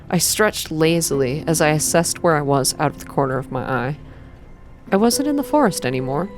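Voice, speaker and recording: Female ASMR story reader, lowish register, measured scripted narration over background music. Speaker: ASMR reader and game player. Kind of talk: ASMR reading of a visual novel, scripted narration. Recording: music behind the voice.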